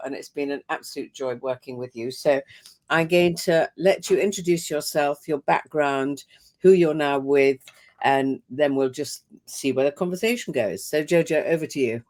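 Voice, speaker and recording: Older woman hosting a webinar. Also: deep voice. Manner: warm storytelling with frequent laughs. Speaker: host. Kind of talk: webinar conversation.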